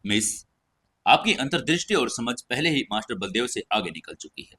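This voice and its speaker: A man's voice: mid-range voice, very animated, moderate pace, bright, forceful tone, husky, heavily acted. A man voicing a grave, dutiful male bodyguard in an audio story, speaking with serious, restrained deference. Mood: solemn, respectful. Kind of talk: audio story character dialogue.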